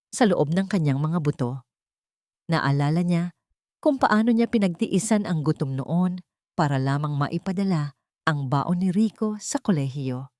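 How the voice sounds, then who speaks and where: A woman's voice: fairly low pitch, a little mellow, dry recording, clean studio sound. A woman narrating a scripted dramatic story in a solemn, melodramatic manner.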